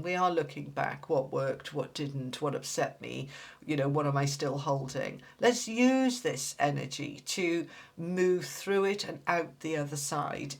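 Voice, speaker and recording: Congested woman reading tarot, low voice. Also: gravelly. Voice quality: slightly nasal. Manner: reassuring, tags lines with "all right?". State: congested with a cold. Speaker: tarot reader.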